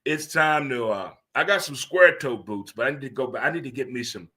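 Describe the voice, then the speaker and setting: A man in his fifties hosting a livestream sports talk show, rambling and animated, teasing himself and asking "huh?". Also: medium pitch, brisk. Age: 50s. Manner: talks to himself by name. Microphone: streaming studio mic.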